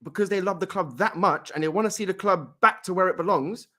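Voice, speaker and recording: A man's voice: high voice, quick pace, bright, forceful tone. A man from Morocco arguing his point emphatically in a heated football debate. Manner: emphatic, argumentative. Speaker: panelist.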